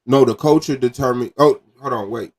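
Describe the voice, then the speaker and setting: Man, podcast host, casual debate, insistent, slangy, mid-pitched. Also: normal speed, a crowd in the background.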